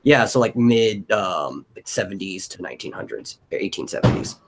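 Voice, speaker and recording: A man's voice: sits low, big pitch swings, normal speed, vocal fry. A man hosting a casual brainstorming chat, thinking aloud in a loose way. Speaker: host of a brainstorming stream. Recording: noticeable background noise.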